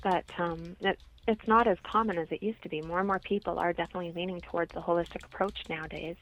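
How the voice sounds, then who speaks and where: A woman's voice: lowish voice, fairly even intonation, fast, fairly bright tone. A woman from Canada speaking as an interview guest, explaining things as a holistic health practitioner on slightly dull radio audio.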